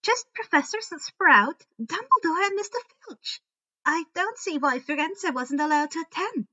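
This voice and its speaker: A woman's voice: very high-pitched, expressive, unhurried, sharp tone, dull audio, voice pushed up, full character voice. A woman voicing a dreamy, cheerful fairy girl who talks in an airy, sagely informative way.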